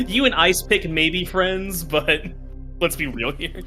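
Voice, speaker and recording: A man's voice: high-pitched, animated, slow, music in the background. A man running a tabletop game as game master in casual, joking, sweary banter.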